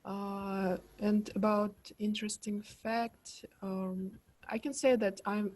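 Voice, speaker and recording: A woman's voice: medium pitch, flat delivery, slow, darkish tone. A young woman gives a brief, shy self-introduction on a video call. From Kazakhstan. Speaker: participant.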